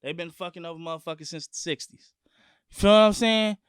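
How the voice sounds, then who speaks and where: A man from Long Beach, California, a guest music artist talking in an animated, profane, emphatic way in a casual sit-down interview. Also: fairly high pitch, fast, clear, resonant tone, no room sound, clean studio sound.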